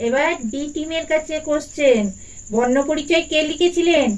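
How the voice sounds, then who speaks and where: A performer acts out an auntie doll running a children's quiz in a puppet show. The voice is high, and the manner is encouraging and deliberate. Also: natural voice, poor audio. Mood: encouraging, brisk. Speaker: quiz host. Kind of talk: puppet doll story voice acting.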